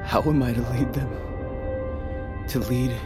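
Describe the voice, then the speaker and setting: Actor as a slow, grieving young leader. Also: male voice, mid-range voice, steady tone, lightly acted. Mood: grieving, imploring. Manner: halting, solemn. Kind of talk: cinematic fantasy audio drama acting. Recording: very loud emotive music behind.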